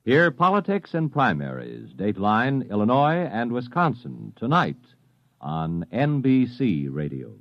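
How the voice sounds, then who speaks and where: An American man reads a network promo with extremely lively pitch swings. He announces in 1950s radio style, on a dry, dull old recording. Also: a little slow, dry recording.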